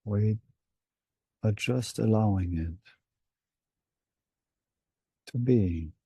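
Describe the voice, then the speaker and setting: A man leading a guided meditation in a hushed, unhurried manner with long pauses between short phrases. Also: lowish voice, slightly rough, no echo, clean studio sound.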